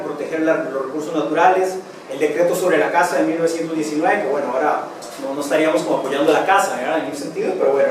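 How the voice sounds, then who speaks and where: Costa Rican man lecturing in an echoey hall. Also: fairly high pitch. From Costa Rica. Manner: measured, frequent fillers and restarts. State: sore throat. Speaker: conference speaker. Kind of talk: political lecture. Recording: echoey hall, distant mic, clear noise.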